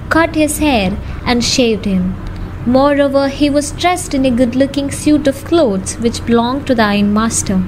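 A woman's voice: high voice, background noise. A young woman, a student narrator, reading scripted story narration for a radio drama. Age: young adult.

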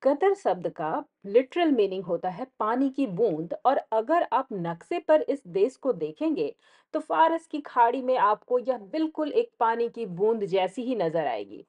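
A woman's voice: a little high, warm tone, muffled recording. A woman with an Indian accent reads scripted travel vlog narration, explaining things directly to the viewer.

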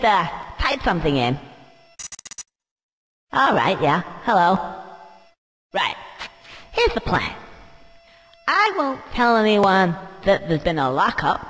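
A man's voice: sits high, fairly bright tone, long reverb tail, full character voice, rough recording. A man voicing a cheeky young cartoon squirrel in a video game, muttering offhandedly and hesitantly.

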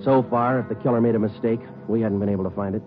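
Guy playing a deadpan cop narrating, very low voice, tinny old radio sound. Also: quick pace, lightly acted. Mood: flat, businesslike. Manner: clipped, matter-of-fact. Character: terse male police sergeant narrating his case. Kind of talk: 1940s radio drama narration. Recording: tinny 1949 radio broadcast with music.

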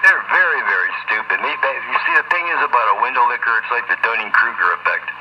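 A man's voice: mid-range voice, expressive, fast talker. A man bantering off the cuff over CB radio, scornful and leaning on repeated catchphrases. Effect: CB radio transmission. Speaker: CB radio operator. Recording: dull, noisy CB radio audio.

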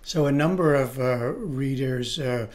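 A man in his sixties, a news host interviewing an author over a video call from home and reading viewer questions in a measured way. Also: mid-range voice, darkish tone. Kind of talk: broadcast interview. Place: home room with bookshelves.

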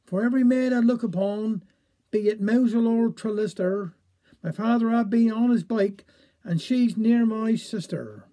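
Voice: mid-range voice, measured pace, warm tone, natural voice. A reader voicing a lovesick young man who speaks in an earnest, pleading way. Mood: despairing.

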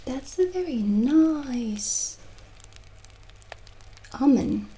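A middle-aged woman playing a gentle, chatty witch shopkeeper in a soft-spoken, often whispered ASMR roleplay, speaking in a hushed, unhurried way. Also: high voice, animated, voice pushed up, exaggerated voice, low-quality recording. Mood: calm, chatty. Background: original music.

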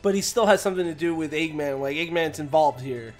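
Higher-voiced man in casual chat. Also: quick pace, darkish tone. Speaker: co-host of a gaming news show. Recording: music behind the voice. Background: background music.

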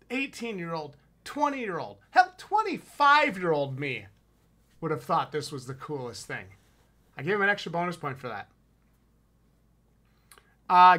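Upbeat wisconsin guy on a headset, kinda high bright voice, hyped casual review chat. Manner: enthusiastic, emphatic, slangy. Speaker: podcast co-host reviewing haunted houses. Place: home room with shelves, indoors. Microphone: headset with boom mic on video call.

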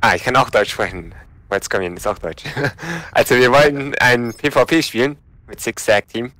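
A man's voice: mid-range voice, moderate pace, no echo. A man streaming a game, chatting casually and laughing with friends. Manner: relaxed and laughing, switches to English for a friend. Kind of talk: casual gaming chat.

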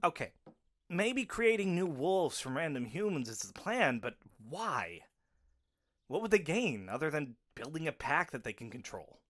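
A man's voice: fairly high pitch, big pitch swings, unhurried, fairly bright tone, full character voice. An actor playing a practical, level-headed male werewolf in an audio drama, reasoning things through steadily. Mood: analytical.

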